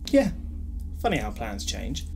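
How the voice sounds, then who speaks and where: A man playing a flippant, protective alien time traveller in a fan audio drama, with a husky voice and wry, bantering delivery. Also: lowish voice, huge pitch swings, a little slow, a little mellow, soft background music, heavily acted.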